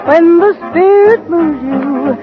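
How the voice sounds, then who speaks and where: A woman's voice: extremely high pitch, takes it slowly, over music. A woman singing 1940s swing as a guest singer on a radio show. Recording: muffled 1940s radio broadcast. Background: loud swing band with brass.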